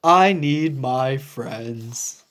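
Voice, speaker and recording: A woman voicing a big, emotional, needy male elephant from a children's picture book, with dramatic, drawn-out delivery. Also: very deep voice, lively intonation, pitched below the performer's own voice, exaggerated voice. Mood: relieved, tender.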